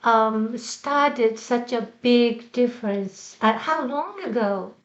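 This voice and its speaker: High-voiced Japanese woman host, halting English. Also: muffled recording. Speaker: host, English-learning show. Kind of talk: bilingual casual interview.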